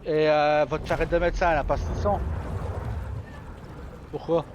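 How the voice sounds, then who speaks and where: A man's voice: fairly high pitch, little pitch movement, normal speed, highs cut off, noisy background. A man live streaming a game, chatting casually as he plays. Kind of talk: casual chat over live gameplay.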